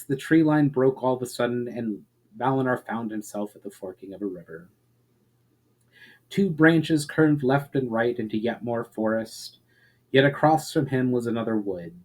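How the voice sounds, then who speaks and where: A man's voice: mid-range voice, a little mellow, poor audio. Man giving a dramatic fantasy story reading. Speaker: author reading own story aloud. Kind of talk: dramatic audiobook-style narration of fantasy fiction.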